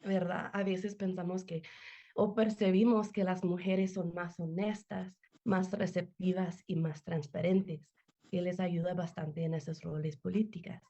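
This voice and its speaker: Give me a mellow-voiced woman from the US, a guest speaking a second language. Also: lowish voice, steady tone, measured pace, dull audio. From United States. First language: English. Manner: careful, searching for words, frequent fillers. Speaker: interview guest, nonprofit director. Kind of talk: interview answers over a call. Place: home. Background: children playing.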